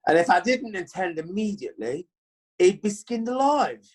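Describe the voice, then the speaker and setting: Very lively, earnest police detective played by an actor. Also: medium pitch, moderate pace, close to an everyday voice. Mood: earnest, dramatic. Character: earnest police detective giving dramatic evidence. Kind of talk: comic courtroom play acting.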